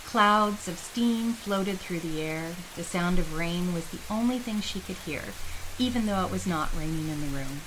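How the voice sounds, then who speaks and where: A woman's voice: on the low side, moderate pace, background noise. A woman reading scripted fantasy narration as a storyteller.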